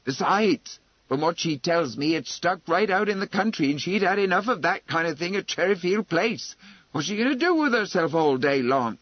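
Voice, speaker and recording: Guy doing a bossy indignant auntie in an audiobook, blunt and scolding, pitched a bit high. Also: animated, very slow, few pauses, dry recording, lo-fi sound, exaggerated voice. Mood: wrathful. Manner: forceful, scolding. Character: indignant, blunt, protective middle-aged woman.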